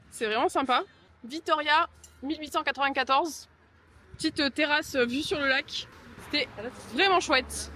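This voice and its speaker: A woman's voice: on the high side, a bit bright, a little hiss or hum. A young woman from France vlogging casually to camera, chatty and bubbly with many fillers, filmed on a handheld camera. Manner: chatty and bubbly, gushing "trop bon", many "euh". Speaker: travel vlogger. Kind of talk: casual travel vlog to camera. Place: outdoors and in a hotel room by a lake.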